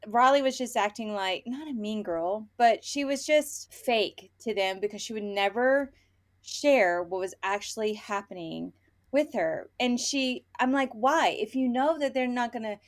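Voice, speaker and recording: Animated, blunt woman with a higher voice. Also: unhurried. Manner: animated, opinionated ranting, blunt, swearing; acts out imagined dialogue. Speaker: book podcast host. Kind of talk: casual book review chat.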